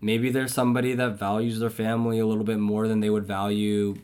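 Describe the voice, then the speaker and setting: A man in his twenties hosting a podcast, talking in a slow, reflective, measured way that often trails off. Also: on the low side, level tone, a little mellow, clean tone. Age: 20s. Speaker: podcast host. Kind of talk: casual chat.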